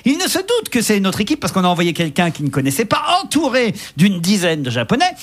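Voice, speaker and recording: A man hosting a talk show, telling stories in an animated way and laughing often. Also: high voice, fast talker. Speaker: radio show host.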